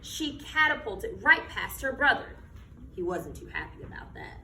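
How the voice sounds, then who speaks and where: An American woman reading a picture book aloud to children like a teacher, with expressive, emphatic delivery and questions to her listeners. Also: mid-range voice, normal speed. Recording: echoey room, distant mic, background noise.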